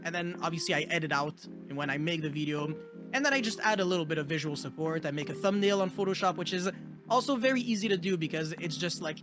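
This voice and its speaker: A high-pitched man from Montreal who grew up speaking French, with a Quebec accent running through his English. He talks loosely as an interview guest, stringing thoughts together with "like" and "you know", while clear music plays underneath. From Montreal, Canada. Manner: loose, filler-heavy with frequent "like" and "you know". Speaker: guest content creator. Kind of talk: casual interview chat.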